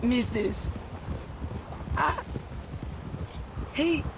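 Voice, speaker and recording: An actress playing a shaken, grieving frontier widow in a western game, speaking in a distraught, halting way. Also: female voice, on the high side, lively intonation. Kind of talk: cinematic western game acting. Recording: very noisy outdoor ambience.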